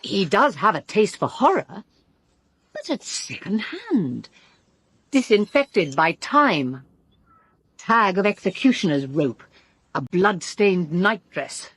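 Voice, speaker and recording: Brittle British woman, vividly animated. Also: mid-range voice, takes it slowly, long pauses, husky, no echo, full character voice. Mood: urgent, speculating. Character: brittle, sharp-tongued woman suspect, candid and bitter. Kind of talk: full-cast radio drama dialogue.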